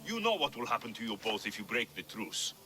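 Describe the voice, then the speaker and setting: A man's voice: on the low side, very animated, fairly quick, over music. A man playing a blunt, resolute mercenary hero in a video game, firm and questioning. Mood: determined.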